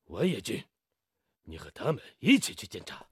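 Husky, haughty Japanese engineer man. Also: fairly low pitch, wildly swooping pitch, normal speed, fairly bright tone, no echo, studio quality, heavily acted. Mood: haughty, dismissive. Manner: formal, haughty, emphatic. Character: proud Japanese male steel company engineer. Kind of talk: radio drama acting.

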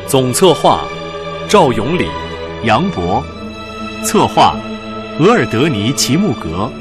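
A man's voice: medium pitch, sing-song, takes it slowly. A man delivering scripted radio drama narration in a solemn, declamatory manner. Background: prominent music.

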